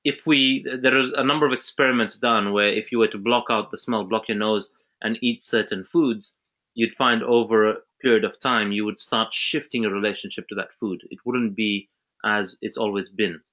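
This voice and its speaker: A man's voice: on the low side, steady tone, moderate pace, a bit bright. A man from Zambia hosting an online webinar, talking casually with many restarts and fillers. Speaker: webinar host.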